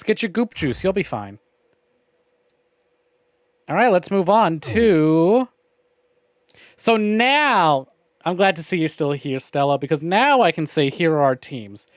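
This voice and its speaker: A man's voice: a little high, no echo, clean studio sound. A man giving casual, opinionated livestream commentary on a visual novel game, with wry, profane grumbling. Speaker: game streamer.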